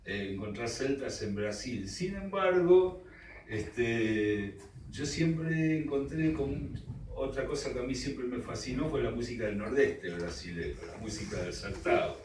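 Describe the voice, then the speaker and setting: A man with an Argentine accent moderates a public conversation in a medium-pitched voice. He speaks hesitantly, feeling for his words. The recording is roomy and echoey, with clear noise. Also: expressive, unhurried, darkish tone. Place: indoor public talk at a cultural centre. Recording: echoey room, distant mic, clearly noisy.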